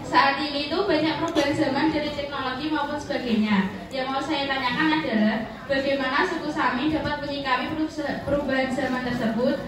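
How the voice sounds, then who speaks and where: A woman's voice: high-pitched, fairly even intonation, fairly quick. A teenage girl, a student, politely asks a formal question from the floor with slight hesitations. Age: teens. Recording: echoey hall, far from mic, crowd.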